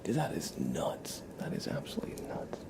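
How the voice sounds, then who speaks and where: A man hosting an ASMR livestream, chatting casually in a soft, whispery murmur and gently repeating his phrases. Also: normal speed.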